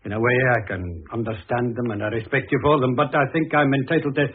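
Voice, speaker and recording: A man's voice: mid-range voice, steady tone, quick pace, slightly rough, close to an everyday voice. An actor playing an exasperated, self-important middle-aged businessman father, blustering and indignant in an old-fashioned radio drama. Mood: wounded indignation. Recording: muffled, lo-fi old radio recording.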